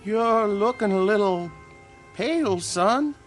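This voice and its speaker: An actor playing a plain, gentle, doubtful country father in a radio drama. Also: high-pitched, animated, slow pace, soft background music. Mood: cautious.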